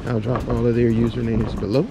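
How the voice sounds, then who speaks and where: A man's voice: mid-range voice, monotone, brisk, over music. A man casually narrating and chatting as a fishing vlogger, recorded outdoors. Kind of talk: casual vlog narration and chat. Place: outdoors on a kayak on a lake.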